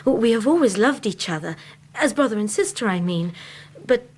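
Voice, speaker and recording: An actress playing a strong-willed, loyal young Victorian gentlewoman, earnest and well-spoken, with an English accent in a radio drama. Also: fairly high pitch, expressive, moderate pace, husky. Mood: hesitant, candid.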